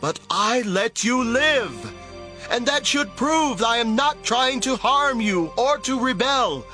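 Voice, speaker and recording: A man playing a young fugitive warrior in an audio Bible drama, earnestly pleading with his king and calling out slowly and emphatically. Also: very high voice, very animated, faint music behind, full character voice. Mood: urgent pleading. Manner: shouting from a distance, slow and emphatic.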